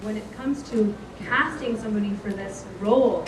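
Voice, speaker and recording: A woman interviewer asking questions in a casual, enthusiastic way. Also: medium pitch. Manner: enthusiastic, quick to praise. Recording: echoey, distant, noisy ambience.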